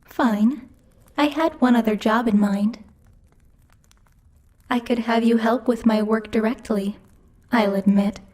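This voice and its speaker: A woman's voice: a little high, mellow. A woman voicing a bossy, teasing female demon who rules hell, speaking in a haughty, playfully menacing ASMR roleplay style. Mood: businesslike, condescending. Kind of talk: ASMR roleplay, intimate scripted monologue to the listener.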